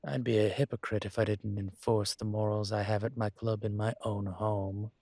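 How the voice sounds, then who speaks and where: A man playing a suave, courteous dragon mafia boss in an intimate roleplay audio, with a husky, breathy voice and a gentle, teasing formality. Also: on the low side, flat delivery, moderate pace, dry recording. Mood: wry, firm.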